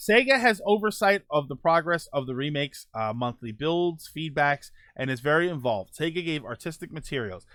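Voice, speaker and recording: Brief: male gaming podcast host, slightly high voice, dry close sound, informal read-aloud commentary with offhand asides. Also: a bit bright, no echo. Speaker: host of a video game podcast and livestream. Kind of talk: casual livestream commentary, reading out news notes.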